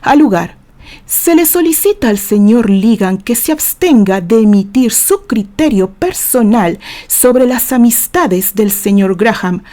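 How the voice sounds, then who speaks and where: A Mexican woman voicing a stern male judge in an audio novel, formal, curt and measured. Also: mid-range voice, dark tone. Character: stern, dry male judge presiding over a trial.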